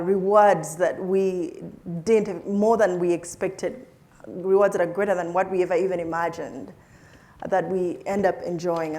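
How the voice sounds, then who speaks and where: A woman's voice: lowish voice, a little mellow. A woman from Uganda, a scientist, telling her life story in an interview, with frequent laughs and many restarts. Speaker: plant scientist guest.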